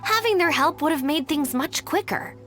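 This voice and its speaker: High lively female voice, poised young deputy, formal, persuasive, video game dialogue, music behind. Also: normal speed. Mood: apologetic, formal. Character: poised young woman, diplomatic deputy of a gang, sternly responsible.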